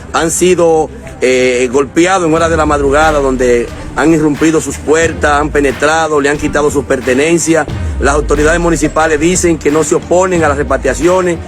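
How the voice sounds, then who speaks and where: A man reports from the field, putting questions to officials in an interview. His voice sits a little high. Faint music plays under his report. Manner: leading questions, wrapping up reports. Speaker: news reporter.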